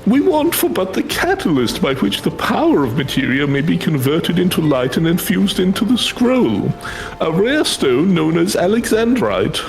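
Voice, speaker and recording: A man's voice: high-pitched, very animated, moderate pace, gravelly, faint music behind, exaggerated voice, poor audio. Man putting on a swooping, grandiose fantasy scholar. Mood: excited, grandiose. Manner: formal, theatrical, archaic phrasing.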